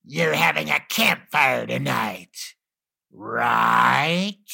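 A man voicing a grumpy, gloating monster villain for a children's story, raspy and strained, with theatrical taunts. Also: mid-range voice, extremely slow, pushed and bright, full character voice. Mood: needling.

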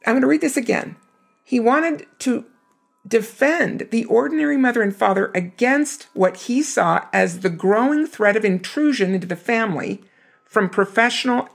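A hoarse woman giving an earnest, emphatic scripture lesson. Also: mid-range voice. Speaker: scripture teacher.

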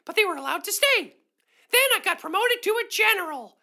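A tiny, eager animal chatterbox, extremely high and bright. The delivery is cartoonish, childlike and boastful, bouncing all over in pitch for a children's adventure drama. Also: female voice, animated, brisk, heavily acted. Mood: excited, boastful.

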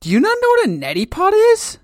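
A man's voice: very high voice, wildly swooping pitch, fast talker, dry recording, studio quality. A man hosting a casual podcast about his comic, teasing and joking with his co-host. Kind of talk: casual chat.

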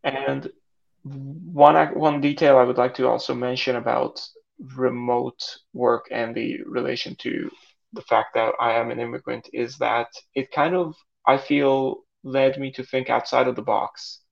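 A young man whose first language is Turkish, answering podcast questions in a halting way, with many fillers and restarts. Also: medium pitch, flat delivery, creaky, highs cut off. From Saudi Arabia. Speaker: guest, startup founder.

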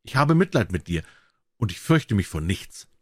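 Fearless young drummer for a storybook, voiced by a male reader: cunning, kind, very lively intonation. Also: lowish voice, moderate pace, no echo, studio quality. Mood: reassuring, bold. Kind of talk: fairy tale character voice.

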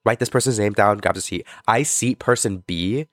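A man's voice: lowish voice, moderate pace, no room sound, clean studio sound. A man of about twenty-one, co-hosting a podcast and telling stories casually with slang, swearing and repeated phrases for emphasis. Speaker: podcast co-host. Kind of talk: casual chat, storytelling.

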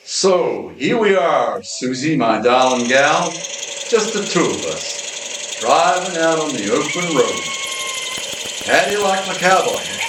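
A cocky, blundering radio detective played by a man, breezy and a little hokey. His mid-pitched voice swings up and down, heard from a step back in an echoey, noisy recording. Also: big pitch swings, slow. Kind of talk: amateur old-time radio play acting. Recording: echoey, distant, noisy with mechanical rattle.

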